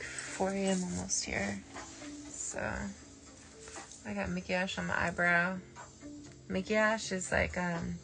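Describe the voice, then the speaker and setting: A woman's voice: lowish voice, creaky, poor audio. A woman talking as a spiritual medium in a rambling, digressive late-night stream, full of fillers and restarts, with faint music behind her. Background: faint early nineties music.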